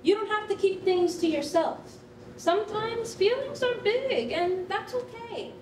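A woman's voice: extremely high pitch, expressive, a little slow, darkish tone. An actress playing a reassuring, upbeat female counsellor in a staged reading of a children's storybook, playful and emphatic. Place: concrete rehearsal hall, standing at music stand. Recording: distant roomy stage recording, faint noise.

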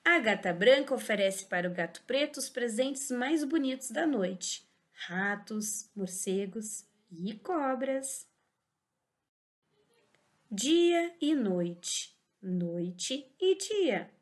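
A woman teacher giving a slow, animated storybook reading for small children, in a Brazilian Portuguese accent. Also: on the high side. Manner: sing-song, exaggerated expressive reading. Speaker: teacher reading a picture book.

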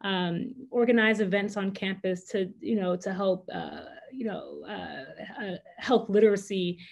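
A woman's voice: medium pitch, unhurried, vocal fry. A woman physician who is a native Spanish speaker, sharing practical advice on a panel over a video call from her office. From United States. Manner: practical, animated hand gestures. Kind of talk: panel discussion answer. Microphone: video call, laptop camera.

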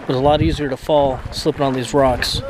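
A man's voice: mid-range voice, brisk. Man vlogging outdoors on a handheld camera. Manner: relaxed, chatty, talking to a handheld camera while walking. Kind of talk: casual vlog narration. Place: outdoors on a hiking trail and in a parking lot. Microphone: handheld camera at arm's length. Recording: outdoor camera audio with steady background noise.

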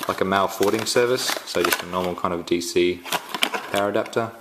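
An Australian man giving a casual unboxing walkthrough at home, halting and full of ums and restarts. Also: low-pitched, very noisy. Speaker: tech reviewer. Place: indoors at home, filming the box up close.

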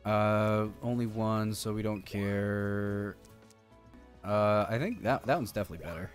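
A man's voice: lowish voice, unhurried. A man doing casual game stream commentary, chatty and slangy, thinking aloud as he plays. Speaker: streamer, show host. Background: music.

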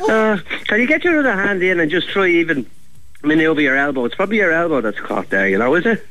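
Irish man, phone chat, bright tone, lively pitch swings, helpful, easily amused. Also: fast, poor audio. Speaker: chimney sweep taking a phone call.

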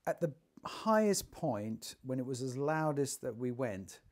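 A man's voice: medium pitch, big pitch swings, measured pace. A man in his sixties presenting an informal demonstration, speaking directly to the viewer like a showman into a lapel mic. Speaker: presenter, technical director of a loudspeaker company. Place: large attic listening room indoors. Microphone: lavalier.

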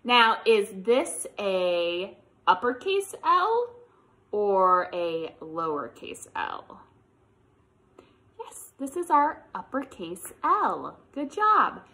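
Very animated American woman, bright high voice. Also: very slow. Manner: animated, encouraging, exaggerated child-directed delivery with questions. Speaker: children's librarian leading online storytime. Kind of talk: preschool lesson and picture-book read-aloud.

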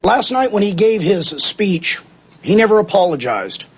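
A man with a husky, slightly high voice talks in measured, deliberate phrases. He pauses often between thoughts, as in an interview soundbite for a news report. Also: very animated, highs cut off, soft background music.

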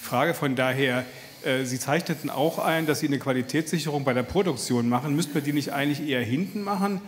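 A man putting a formal, spontaneous question from the audience, with measured wording and stammered restarts. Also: medium pitch. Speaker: audience member asking a question. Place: large hall. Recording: echoey hall with background noise.

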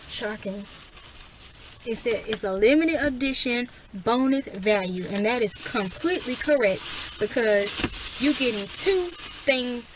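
A soft-spoken young woman, chatting casually to her camera in a parked car. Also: noisy background. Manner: soft-spoken, low and quiet. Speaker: vlogger. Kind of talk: casual vlog chat.

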